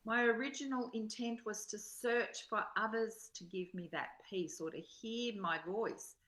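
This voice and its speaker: A woman's voice: mid-range voice, measured pace, fairly bright tone, poor audio. A woman in a spiritual study group, sharing reflectively and reading aloud in an earnest, introspective way.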